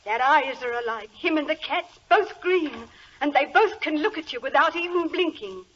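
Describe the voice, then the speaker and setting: High-pitched, frightened country housemaid. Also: female voice, animated, moderate pace, a bit bright. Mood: frightened, hysterical. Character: frightened, superstitious young country housemaid, excitable and gossipy. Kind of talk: 1940s radio acting. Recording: muffled, noisy old radio recording.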